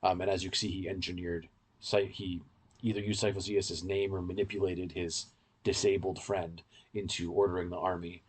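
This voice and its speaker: A man's voice: low-pitched, flat delivery, moderate pace, slightly rough. A man co-hosting a podcast, giving casual, in-depth fan commentary in a rambling, explanatory way. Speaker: podcast co-host.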